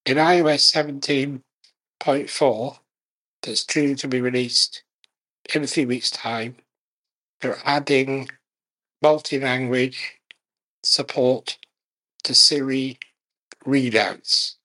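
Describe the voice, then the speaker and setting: A man speaking as a guest in an interview, measured and unhurried, speaking in short phrases with pauses. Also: mid-range voice, fairly even intonation, pushed and bright, low-quality recording. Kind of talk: conversational interview.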